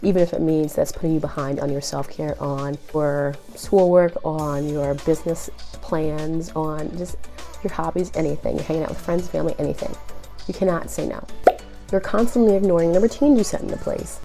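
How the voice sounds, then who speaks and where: A young woman with a cold, sounding nasal and raspy, giving earnest, encouraging relationship advice straight to the viewer. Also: low voice, normal speed, dark tone, soft background music. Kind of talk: direct-to-camera advice vlog.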